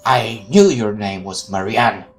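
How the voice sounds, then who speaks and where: Low-voiced sarcastic male let's player. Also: expressive, unhurried, gravelly, over music. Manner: sarcastic, interjecting quips. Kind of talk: game playthrough, reading text aloud with joking asides.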